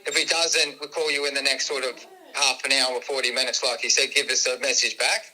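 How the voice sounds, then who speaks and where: A man on a phone call, halting and stammering as he defends himself in a tense argument. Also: medium pitch, little pitch movement, bright, forceful tone.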